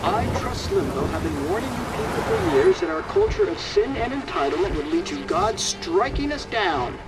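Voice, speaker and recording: A ranting male radio preacher, bombastic and hot-tempered, with a very high, swooping voice. He sounds like a game character heard at a distance under loud music. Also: huge pitch swings, moderate pace, very noisy, exaggerated voice. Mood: preachy, indignant. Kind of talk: bombastic video game acting.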